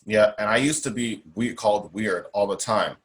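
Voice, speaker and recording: Young guy talking in an interview, lowish strong voice, really outspoken and emphatic. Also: normal speed. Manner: emphatic, outspoken, repeats himself. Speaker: guest, self-advocate. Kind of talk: interview conversation.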